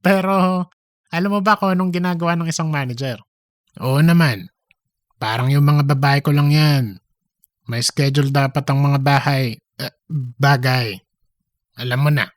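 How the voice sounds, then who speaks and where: A man's voice: a little high, moderate pace, long pauses, dry recording, lightly acted. Man acting a cocky, gossipy office braggart, dry sound. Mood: smug, confiding. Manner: cocky, gossipy, repeats himself. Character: boastful philandering male office worker bidding to be manager. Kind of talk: comedic audio story dialogue.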